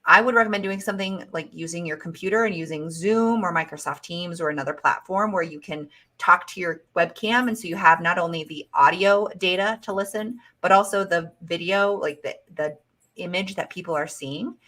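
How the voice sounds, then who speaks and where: A woman career coach giving interview advice in a measured, encouraging way. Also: lowish voice, sharp tone. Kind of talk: casual advice chat.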